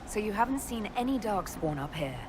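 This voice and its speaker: A woman's voice: mid-range voice, big pitch swings, fairly quick, noisy background, lightly acted. A woman playing a composed elf mage leader in a video game, direct and dryly witty. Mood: composed, inquiring. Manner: direct, questioning, dry.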